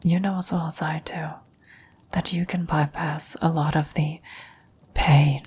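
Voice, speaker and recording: A woman voicing a vampire's dying former lover in an ASMR roleplay, a wistful, knowing woman who whispers in a breathy, solemn, deliberate way. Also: sits low, fairly even intonation, a little mellow, heavily acted. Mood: grave warning.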